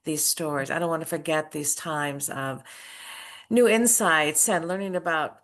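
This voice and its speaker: A woman's voice: sits low, measured pace, a bit bright. A middle-aged woman, an author and former school principal, telling her story earnestly in a casual interview. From United States.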